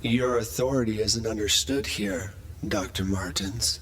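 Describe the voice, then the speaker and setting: A man's voice: on the low side, fairly even intonation, measured pace. A man voicing a menacing, smug, murderous rogue computer in a sci-fi tabletop game. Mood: cold menace. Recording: loud music bed behind voice.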